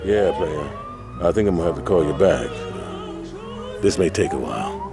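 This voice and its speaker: An actor playing a self-assured, fatherly gang leader in a video game, talking in measured, persuasive street slang. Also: low-pitched, animated, loud music behind the voice. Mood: resigned. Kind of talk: video game cutscene acting.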